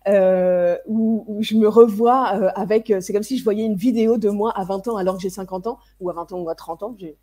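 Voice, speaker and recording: A woman's voice: medium pitch, fairly even intonation, brisk, few pauses, warm tone. A woman in her thirties giving parenting advice in a live stream, hesitant, with many fillers and restarts. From France. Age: 30s. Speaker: parenting coach.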